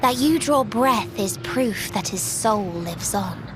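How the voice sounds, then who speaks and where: A woman playing a cold, despairing ruler in a video game cutscene, speaking formally and deliberately. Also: mid-range voice, animated, background noise. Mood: solemn.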